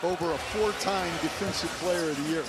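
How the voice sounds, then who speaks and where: A man's voice: fairly high pitch, fairly quick. A man giving opinionated, explanatory colour commentary on a live basketball game. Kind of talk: analytical live sports commentary. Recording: broadcast under loud arena noise. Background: loud basketball arena ambience.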